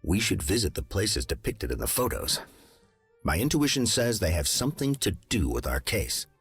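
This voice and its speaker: An actor playing a serious, shrewd detective in a mystery game, speaking in a measured, deliberate way. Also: male voice, lowish voice, very animated, faint music behind. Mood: suspicious, pensive. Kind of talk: video game character acting.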